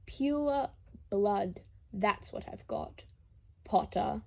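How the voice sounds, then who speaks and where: Woman voicing a haughty, sneering old aristocrat, creaky. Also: mid-range voice, expressive, drawn-out words, mellow, exaggerated voice. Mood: cold contempt. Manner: disdainful, formal diction. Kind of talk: dramatised audiobook reading of fan fiction.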